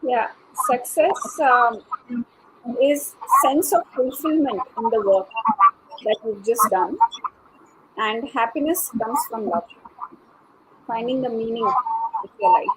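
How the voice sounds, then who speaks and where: A woman's voice: fairly high pitch, animated, slow pace, pauses often. A woman panelist giving considered answers in an unscripted discussion. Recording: noisy online call.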